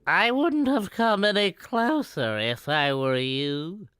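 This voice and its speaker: A man's voice: sits high, slow, fairly bright tone, clean tone, dry recording. An actor playing a menacing, gloating gunman villain in a comedic suspense audio drama.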